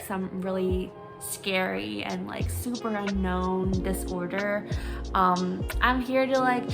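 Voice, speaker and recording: A woman from Singapore in her twenties, candidly sharing her personal story in a hesitant, um-filled vlog, reading from talking points on her phone. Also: mid-range voice. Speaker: vlogger, psychology grad student.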